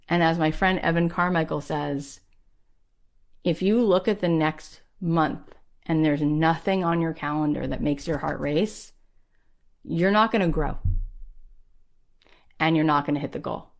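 A woman podcast host and coach giving a motivational talk with personal anecdotes, emphatic and encouraging, repeating phrases for effect. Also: deep voice.

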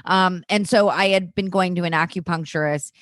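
A woman's voice: fairly low pitch, fairly even intonation, moderate pace, fairly bright tone, no echo, studio quality. A woman in her thirties, a guest actress, talking candidly and chattily in a casual interview. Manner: candid, chatty, filler-heavy.

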